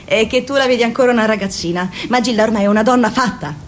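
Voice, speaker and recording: A woman acts out a domineering, anxious mother in a radio play. She talks fast in a high voice, nagging and pleading insistently. There is a faint rumbling noise on the recording. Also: close to an everyday voice. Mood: agitated, insistent. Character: pushy, anxious, domineering mother of grown children. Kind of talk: Italian radio drama acting.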